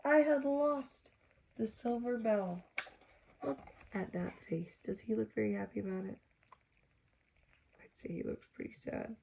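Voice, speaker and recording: A woman's voice: a little high, a little slow, creaky, long pauses, muffled recording, slight background noise. A woman reading a children's book aloud expressively, stopping to chat with and question her young listeners. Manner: animated, acts out exclamations, asks listeners questions.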